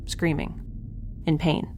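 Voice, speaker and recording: A woman's voice: slow pace, a little mellow, natural voice. A woman playing a wry, flustered young woman alone on a spaceship, in a casual, hesitant, sarcastic audio drama style. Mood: wry, bluffing. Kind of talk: naturalistic sci-fi audio drama acting. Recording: faint ship ambience noise.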